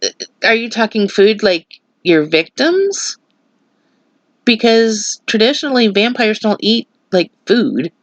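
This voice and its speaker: A woman's voice: mid-range voice, very animated, slow pace, no room sound, low-quality recording. A woman vlogger chatting casually with a stuffy nose, rambling into opinionated asides. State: stuffy nose, slightly nasal. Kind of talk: casual chat.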